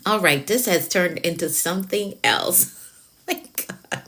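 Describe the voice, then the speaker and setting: A woman's voice: on the low side, expressive, measured pace, bright, forceful tone. A woman coach talking on a live stream, encouraging and emphatic, repeating her key phrases and answering viewers' comments. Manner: encouraging, motivational. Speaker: productivity coach.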